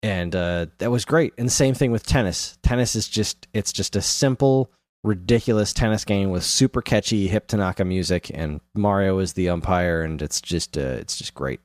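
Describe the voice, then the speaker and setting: A man's voice: low voice, moderate pace, dry recording, studio quality. An American man co-hosting a podcast, chatting casually and enthusiastically in informal slang. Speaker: podcast co-host. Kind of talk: casual chat.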